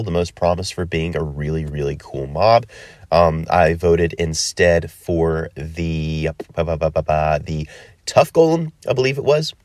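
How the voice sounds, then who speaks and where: A man's voice: sits low, no echo, studio quality. A man hosting a solo podcast in a casual, rambling way with many ums and uhs, sounding stuffy from a cold. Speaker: podcast host.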